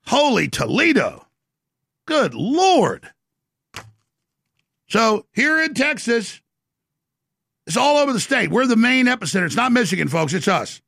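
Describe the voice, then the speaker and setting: Gravelly, high-voiced ranting male host. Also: pushed and bright, no echo, clean studio sound. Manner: fast, agitated ranting. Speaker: talk show host. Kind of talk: heated political commentary. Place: studio in Texas.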